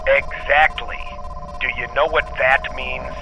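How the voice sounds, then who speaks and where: A man's voice: medium pitch, fairly even intonation, measured pace. A man playing a blunt, impatient starship captain over an intercom in a sci-fi audio drama, curt and sarcastic. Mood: sardonic, impatient. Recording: muffled comm channel, noisy ambience.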